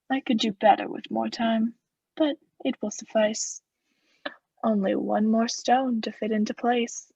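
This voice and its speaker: A woman's voice: on the high side, gravelly, darkish tone, breathy edge, dry recording, muffled recording, full character voice. A woman acting a cold, vengeful murderer in a radio play, speaking with formal, sardonic politeness as he mocks his victim.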